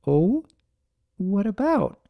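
A narrator doing a timid, high-pitched pony mare. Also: big pitch swings, slow pace, vocal fry, dull audio, exaggerated voice. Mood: anxious, apologetic. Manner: stammering, apologetic. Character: timid, kindly, anxious young female pegasus pony.

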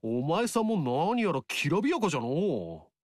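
Teasing rustic warlord, lively swinging voice, dry close sound. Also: male voice, a little high, normal speed, no echo. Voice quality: rustic dialect speech, teasing. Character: folksy upstart warlord, cheeky older man. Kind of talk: video game voice lines. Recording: dry studio recording.

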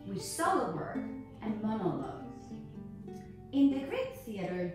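Female instructor, deliberate educational delivery with lively intonation, very reverberant room with music behind. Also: a little high. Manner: deliberate phrasing with frequent pauses. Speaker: ballet teacher. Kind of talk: prepared educational lecture. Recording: echoey room, distant mic, music behind.

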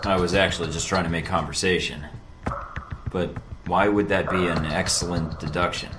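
An actor playing a cool, wisecracking young secret agent in a video game, casual and flippant. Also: male voice, low voice, steady tone, normal speed, noisy background, natural voice. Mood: casual, probing. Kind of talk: video game dialogue acting. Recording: roomy echo with music underneath.

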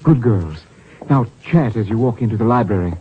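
A man's voice: fairly low pitch, animated, moderate pace, lightly acted. An actor playing a genteel, fussy, fatherly middle-aged man in a 1950s radio drama. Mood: careful, nervous. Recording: dull, hissy old radio recording.

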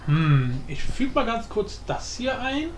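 A man's voice: high-pitched, sing-song, takes it slowly. Man muttering in a roomy, echoey recording. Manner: muttering to himself, slangy. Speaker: tutorial host and hobby game programmer. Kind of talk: casual screen-recorded coding tutorial, thinking aloud. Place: indoor room, voice over screen capture. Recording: roomy echo, faint background noise.

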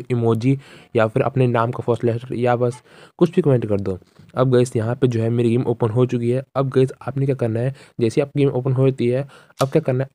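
Young guy doing a casual how-to voiceover, lowish mellow voice, really dry sound, repeats the steps. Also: dry recording. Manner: repetitive, instructional, addresses viewers as friends. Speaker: tutorial host. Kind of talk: casual step-by-step phone tutorial narration.

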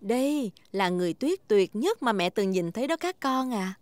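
A woman voicing a warm, caring mother pig in a children's cartoon, lively and motherly. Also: mid-range voice, normal speed, background noise, natural voice. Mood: fond.

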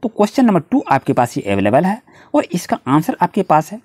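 A tutor with an Indian accent reading out questions and answers in a lesson, addressing students directly with repetitive explanations and halting when reading English. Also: medium pitch, wildly swooping pitch, darkish tone, no room sound, studio quality.

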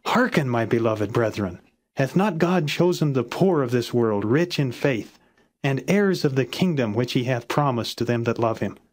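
A man narrates scripture in a middle-pitched voice. His delivery is solemn and measured, with formal phrasing, read straight without dramatization. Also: lively intonation. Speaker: Bible reader, narrator. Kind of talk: non-dramatized scripture audiobook reading.

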